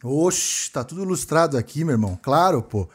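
A man's voice: on the high side, animated, moderate pace, husky. A man presenting a casual podcast as co-host, using affectionate endearments. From São Paulo, Brazil. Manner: affectionate, addresses people with endearments.

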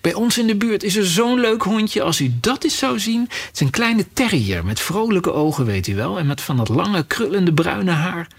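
An eager, chatty young girl, as a male narrator puts her on, raised in pitch and rambling politely in a storybook reading. Her voice keeps a slightly gravelly grain beneath the lift. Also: expressive, moderate pace, heavily acted.

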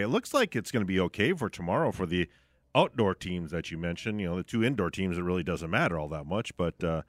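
A man's voice: low voice, dry recording. A man co-hosting a casual sports radio show from the studio, talking conversationally with hedges and asides.

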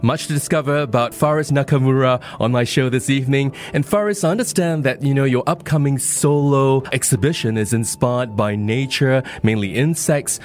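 A man's voice: medium pitch, darkish tone. A man hosting an evening radio phone-in interview, polished broadcast delivery with frequent "you know". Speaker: radio show host.

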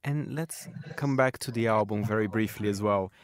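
A man's voice: lowish voice, normal speed, no echo, clean studio sound. A middle-aged man with a Brazilian Portuguese accent, a radio culture correspondent interviewing a guest in a chatty way, often saying "I mean". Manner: chatty, many "I mean" fillers. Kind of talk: friendly conversational interview.

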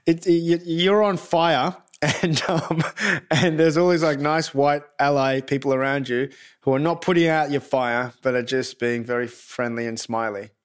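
A man's voice: on the high side, steady tone, normal speed, smooth. A man with an Australian accent interviewing a guest, asking questions in a rambling, hesitant way. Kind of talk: casual interview chat.

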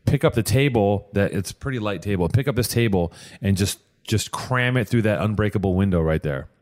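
Male podcast host, lowish voice, loose rambling delivery, trips over restarts. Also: a little mellow. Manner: rambling, with many restarts and repeated words. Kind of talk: casual podcast chat.

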